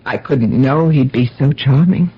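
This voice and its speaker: An actress playing a proud, anxious middle-aged mistress of a house in a radio drama, speaking formally and theatrically. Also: female voice, extremely low pitch, measured pace, slightly rough, full character voice. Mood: composed, reflective. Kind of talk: dramatic radio storytelling and acting. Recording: dull vintage radio, music underneath.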